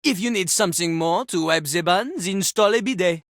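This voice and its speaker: A woman's voice: fairly low pitch, expressive, moderate pace, no room sound, clean studio sound, lightly acted. A woman voicing a cocky male talking toilet who fancies himself a rapper, with a French accent and a theatrical, pompous manner in a video game. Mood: pompous, scornful. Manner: pompous, theatrical, refers to himself in third person. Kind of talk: animated video game character acting.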